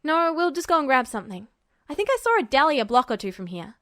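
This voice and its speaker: A woman's voice: high-pitched, very animated, fast, fairly bright tone, no echo, studio quality. Cocky, blunt young man, read by a woman. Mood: casual. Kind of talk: storybook character dialogue.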